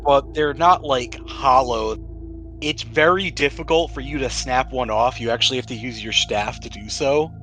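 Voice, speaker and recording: A man's voice: mid-range voice, moderate pace, a bit bright, slight background noise. A man speaking casually as game master, describing scenes to players in a tabletop game.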